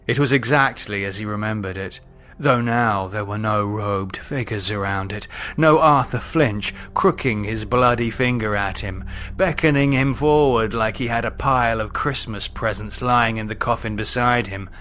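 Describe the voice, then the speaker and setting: A man narrating a horror novel he wrote, in a measured, suspenseful, dramatic storytelling manner. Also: on the low side, sharp tone, slight background noise. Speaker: author reading own novel.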